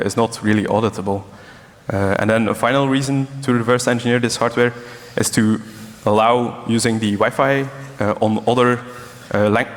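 A man from Belgium gives a technical conference presentation as one of the speakers. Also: fairly low pitch. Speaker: conference speaker, embedded and security developer. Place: large conference hall. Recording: echoey hall with long reverb.

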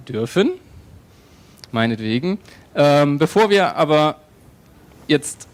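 Chatty man moderating a conference. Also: on the high side. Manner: friendly, chatty, with many ähm fillers and restarts. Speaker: event moderator. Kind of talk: closing remarks at a conference. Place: conference hall.

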